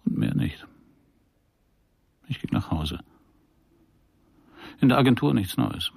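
An actor playing a world-weary private detective, narrating a noir radio drama in a dry, laconic way. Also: male voice, medium pitch, very animated, slow, creaky, darkish tone, no echo, full character voice. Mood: dry, observant.

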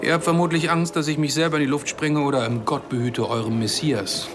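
An actor playing a courteous, sardonic Palestinian man from East Jerusalem in a radio drama, speaking with measured, polite irony. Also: fairly high pitch, fairly even intonation, music in the background, lightly acted.

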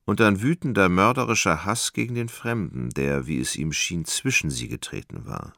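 A man with a very deep, creaky voice giving literary narration in a radio play. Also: lively intonation, normal speed, a bit bright, dry recording, studio quality.

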